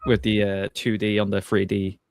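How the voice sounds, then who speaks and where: Low-voiced man in his thirties. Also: completely flat delivery, normal speed, clear, resonant tone, dry recording, clean studio sound. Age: 30s. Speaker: podcast guest. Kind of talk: casual chat about games.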